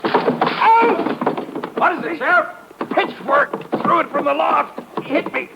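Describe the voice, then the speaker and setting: An actor playing an anxious, excitable county sheriff on a manhunt in a 1950s radio drama, with clipped, urgent speech. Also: male voice, very high voice, unhurried, vocal fry, heavily acted. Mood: pained, shocked. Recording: noisy muffled old 1950s radio recording.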